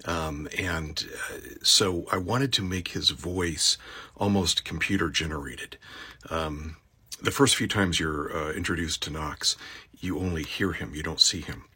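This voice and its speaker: A man who narrates audiobooks, talking casually as he explains his voice acting work, with a gravelly, husky voice. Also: rumbling low, unhurried, sharp tone.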